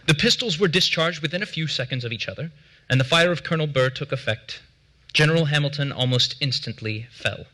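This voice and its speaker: An actor playing a formal, grave early American duel second, reading a period account on stage with deliberate, declamatory diction. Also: male voice, fairly high pitch, lively intonation, sharp tone. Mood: grave, solemn. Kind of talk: theatrical dramatic reading of period documents. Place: theatre stage before a seated audience.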